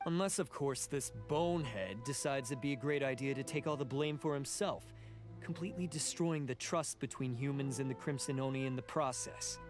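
An actor voicing a proud, bitter outcast oni demon in a video game, speaking with earnest, scornful drama. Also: male voice, medium pitch, moderate pace, lightly acted. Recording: game music over the voice.

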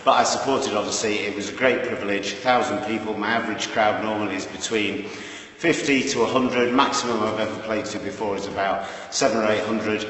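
A man's voice: fairly low pitch, steady tone, brisk, a bit bright. Middle-aged man in a very echoey room. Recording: roomy echo, mic far off.

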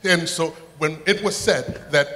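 Earnest, higher-pitched Honduran man. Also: measured pace, pushed and bright. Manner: earnest, emphatic. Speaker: audience member making a statement. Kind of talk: earnest public comment. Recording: reverberant hall PA.

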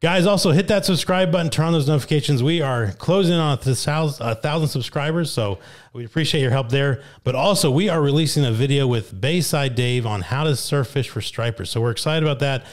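A young man with a New Jersey accent hosting a weekly fishing report, reading catches from notes at a boom mic in a small studio. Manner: upbeat, reads names and catches in quick lists. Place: small studio with brick wall, indoors. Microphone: boom mic on arm, headphones.

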